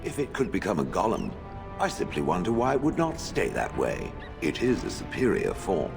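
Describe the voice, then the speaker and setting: An actor playing an ancient stone golem in a video game, sardonic and haughty, with dry, formal phrasing. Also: male voice, low voice, huge pitch swings, normal speed, creaky, over music, exaggerated voice. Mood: curious, skeptical. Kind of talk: video game character acting.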